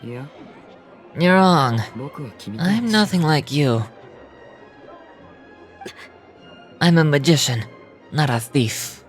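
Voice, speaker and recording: A man voicing a theatrical young male stage magician from a game, knowing and cutting, with deliberate, pointed delivery. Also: on the high side, expressive, faint music behind. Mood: defiant.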